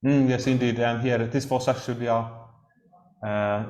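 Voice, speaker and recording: A Swedish man giving an academic talk, speaking haltingly with frequent pauses and restarts. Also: medium pitch, fairly even intonation, darkish tone. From Sweden. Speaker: lecturer. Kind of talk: academic discussion. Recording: roomy echo.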